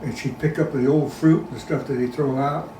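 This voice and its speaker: Man in his 90s, plain storyteller, mid-pitched, far off-mic. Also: steady tone, normal speed. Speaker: interviewee recalling childhood. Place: a private home. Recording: roomy sound, distant mic, background noise.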